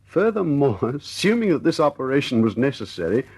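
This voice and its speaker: A man acting in a radio drama as a cocky, jovial air force officer and ladies' man, husky-voiced and playful. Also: mid-range voice, big pitch swings, brisk, darkish tone. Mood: amused, mock-solemn.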